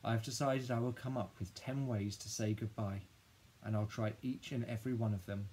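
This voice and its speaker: A man voicing a grieving, dramatic ten-year-old boy who tells his own story in an expressive, husky storybook voice. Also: lowish voice, moderate pace, a little hiss or hum, close to an everyday voice. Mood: wistful, hopeful. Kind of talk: first-person children's audiobook narration.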